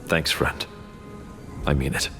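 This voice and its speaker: A man's voice: deep voice, normal speed, natural voice. A man playing a loyal, earnest comrade in a fantasy game, with a dramatic delivery. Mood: grateful, relieved. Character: loyal, grateful male comrade, earnest freed prisoner. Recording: loud music behind.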